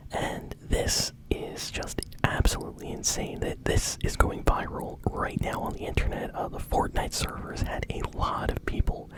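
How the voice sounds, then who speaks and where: A host whispering informal, enthusiastic video game news in an ASMR style. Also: rough recording. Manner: whispering throughout. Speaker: host of a gaming news show.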